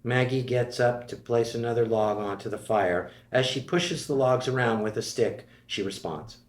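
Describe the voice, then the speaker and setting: A man's voice: medium pitch, moderate pace. A man reading screenplay stage directions in a plain, matter-of-fact way. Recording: roomy echo.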